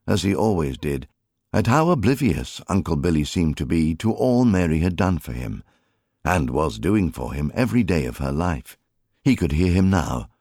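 A man reads literary fiction as an audiobook narrator. His voice is deep, with animated, expressive movement in pitch, and the recording is close and dry. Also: dry recording. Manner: expressive storytelling.

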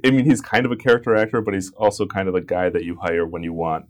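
A man co-hosting a casual film podcast, thinking out loud and checking in with "right?" as he makes his points. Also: sits low, flat delivery, quick pace. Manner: reflective, builds points with "like" and "right?". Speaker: podcast co-host.

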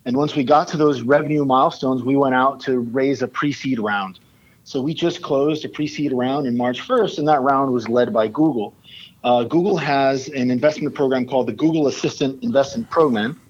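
A Puerto Rican startup founder, a man, giving a polished pitch with filler ums in a casual interview. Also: unhurried, dull audio. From Puerto Rico. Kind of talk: casual interview, switching Spanish and English.

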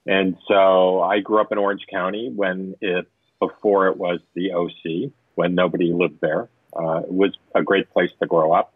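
Husky low-voiced man on a phone line. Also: level tone, normal speed, no room sound. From Southern California. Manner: rambling, many fillers. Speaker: guest, tech company executive. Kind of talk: interview answers. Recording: tinny phone-line sound.

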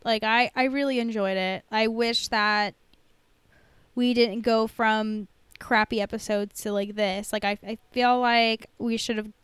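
Female podcast co-host, high clear voice, informal and sweary, chatting casually in a dry studio-like sound. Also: steady tone, measured pace, fairly bright tone, dry recording. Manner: informal, profane, heavy use of "like". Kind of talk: casual chat reviewing a TV episode.

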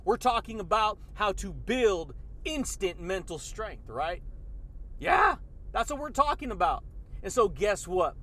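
A man's voice: high voice, big pitch swings, measured pace, sharp tone. A man hosting a motivational podcast, talking in a hyped, slangy way and calling the listener dude and baby. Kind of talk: energetic motivational monologue.

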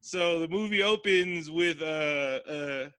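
A man's voice: fairly high pitch, takes it slowly, sharp tone, clean tone, dry recording. A man co-hosting a podcast, chatting casually about a movie in a loose, joking way and swearing freely. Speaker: podcast co-host. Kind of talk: casual movie review chat.

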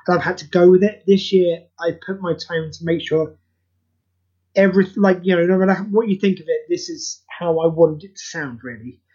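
A man's voice: high voice, normal speed, a little mellow, husky. A man speaking as a podcast interview guest in an earnest, rambling, informal way, over a dull lo-fi recording. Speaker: interview guest, creator of charity audio plays. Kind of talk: casual podcast interview chat.

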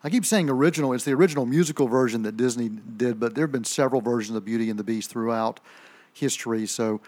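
A man hosting a radio movie review show, easygoing and quick to agree in casual chat. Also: medium pitch, darkish tone. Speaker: radio host.

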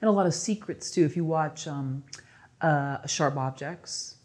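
An interviewer asking questions in a casual chat, halting and repeating words while searching for a thought. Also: medium pitch, a little mellow.

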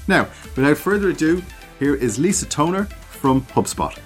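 A man's voice: on the high side, sing-song, moderate pace, loud music behind the voice. A man hosting a podcast, reading out promotional intros and then chatting with a guest. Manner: promotional, list-reading, conversational. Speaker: podcast host.

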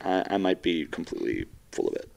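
A man's voice: low voice, very animated, fast talker, creaky, a little mellow, slight background noise. A young man from South Africa with a lisp, talking bluntly and jokily as a guest in a casual chat, often swearing. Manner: profane, blunt and jokey.

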